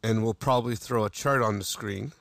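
Man, low gravelly voice, dry close voice-over, steady step-by-step software demo. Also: brisk, no room sound. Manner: steady step-by-step instruction with small restarts. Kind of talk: screencast tutorial narration.

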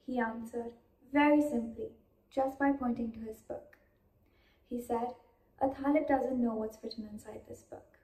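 A young woman reciting a speech in a deliberate, declamatory way in an echoey room. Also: on the high side, steady tone, mellow. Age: teenage to young adult. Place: indoor room. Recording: roomy echo.

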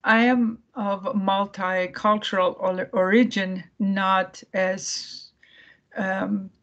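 A woman from Iran giving a presentation over a video call, speaking haltingly with many fillers and restarts. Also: medium pitch, little pitch movement, fairly bright tone, muffled recording. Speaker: guest speaker.

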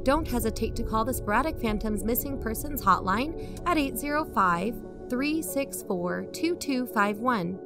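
A woman playing an excitable amateur investigator who hosts a podcast, in a scripted audio drama. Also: fairly high pitch. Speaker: podcast host.

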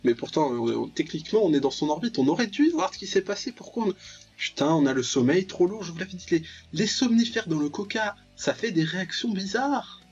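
A man's voice: high voice, expressive, a little fast, a little mellow, husky, dull audio, faint music behind. A man giving casual, joking commentary on a visual novel, ironically paraphrasing and reacting aloud as he plays. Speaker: let's player, channel host.

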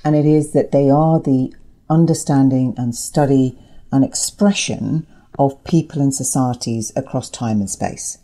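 A woman's voice: extremely low pitch, a little slow, mellow. A woman giving explanatory interview answers as an academic guest, articulate and emphatic, building points through repetition.

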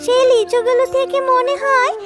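A woman's voice: very high voice, little pitch movement, normal speed, over loud music. A woman voicing a sweet, childlike little girl cub in a children's cartoon story, coaxing and eager. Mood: gentle.

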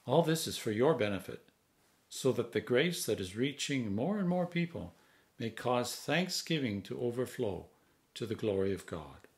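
A man in his sixties, a priest, reading scripture aloud in a measured, solemn manner with deliberate phrasing. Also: medium pitch, big pitch swings. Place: home study, indoors.

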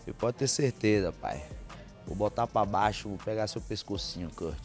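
Young man, mma fighter, confident and fired up, low-pitched voice, faint music underneath. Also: moderate pace. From Brazil, Bahia. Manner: confident, hypes himself up. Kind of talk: casual interview. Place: press backdrop indoors. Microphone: handheld mic held by interviewer.

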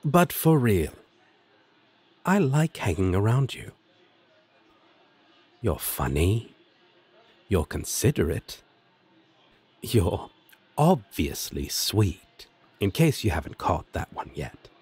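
Husky, flirty demon roommate played by a man. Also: low-pitched, sing-song, darkish tone, heavily acted. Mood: affectionate, flirty. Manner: teasing, casual, drawn-out delivery with dramatic swoops. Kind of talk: scripted audio roleplay addressed to listener.

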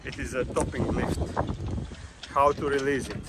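A man's voice: mid-range voice, steady tone, normal speed. Man on a windy boat deck. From Croatia. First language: Croatian. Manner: plain, step-by-step instructions with restarts while handling gear. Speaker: yacht charter guide. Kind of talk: hands-on boat tutorial. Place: outdoors on a sailboat deck in a marina. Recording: loud wind noise on outdoor mic.

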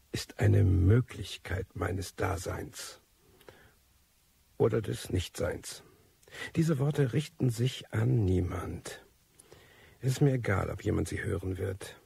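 Husky, gravelly, world-weary man. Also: fairly low pitch, a little mellow, dry recording, heavily acted. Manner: slow, rambling, indifferent, digressive. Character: world-weary anonymous man recording his life story. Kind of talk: radio drama monologue.

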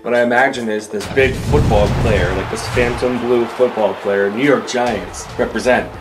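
A man's voice: medium pitch, steady tone, normal speed. Male tabletop player, music behind. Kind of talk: casual improvised roleplay.

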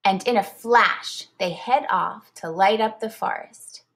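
A woman reading a children's storybook aloud like a teacher, expressive and deliberate. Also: medium pitch, gravelly.

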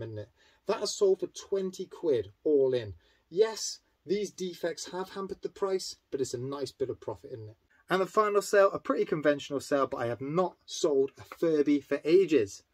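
A man in his thirties talking casually to camera about items he has resold, upbeat and chatty, in a room lined with shelves. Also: fairly high pitch. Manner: chatty and upbeat, walking through sales. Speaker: eBay reseller vlogger. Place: indoor storage room lined with toy shelves.

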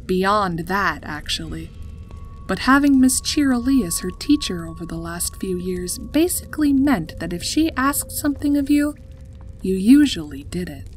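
A woman's voice: mid-range voice, very animated, moderate pace. A woman reading a scripted story as its narrator. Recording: music behind the voice. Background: background music.

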